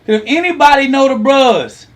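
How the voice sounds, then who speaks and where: A man in his thirties hosting a lively talk, teasing and quizzing his online audience. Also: extremely high pitch, normal speed. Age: 30s. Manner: animated, teasing, asks the audience questions. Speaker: host.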